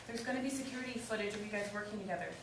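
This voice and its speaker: A woman's voice: medium pitch, very fast, natural voice. A woman playing a firm, shrewd, protective character in a staged play reading, blunt and commanding. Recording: echoey room, distant mic, faint noise.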